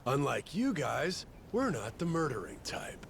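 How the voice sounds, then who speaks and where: An actor playing a calm, reasonable pirate crewmate in a video game, measured in manner. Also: male voice, fairly high pitch, gravelly, a little hiss or hum, heavily acted. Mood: reassuring. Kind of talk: video game cutscene acting.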